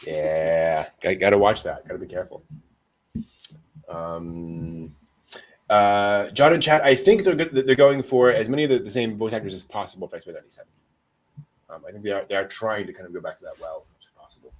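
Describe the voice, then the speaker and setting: Male host, low voice, informal panel talk with frequent chuckles. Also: fairly quick. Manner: chuckles often. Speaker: host of an anime news show. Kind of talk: casual panel chat.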